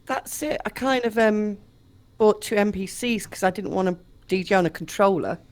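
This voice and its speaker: A woman's voice: medium pitch, normal speed, darkish tone. A woman telling personal anecdotes in a casual chat as a live stream guest.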